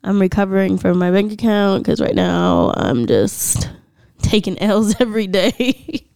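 A woman's voice: medium pitch, unhurried, gravelly, darkish tone, smooth, no echo. A young woman co-hosting a podcast in a chatty, laughing way, swearing freely as she talks. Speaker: podcast co-host. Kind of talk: casual podcast chat.

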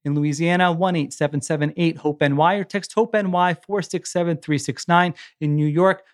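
Male sports podcaster, slightly high voice, casual host read.

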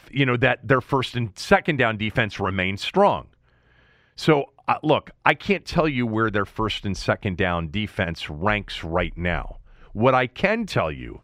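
Male sports radio host, lowish voice with big swings in pitch, close dry sound, emphatic conversational analyst. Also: a bit bright, no echo. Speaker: sports talk show host.

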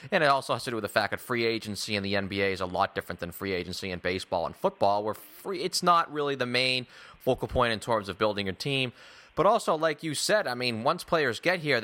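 A man's voice: mid-range voice, bright, forceful tone. A man hosting a sports discussion, giving wordy opinions and building long arguments in a conversational way. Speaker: sports show host.

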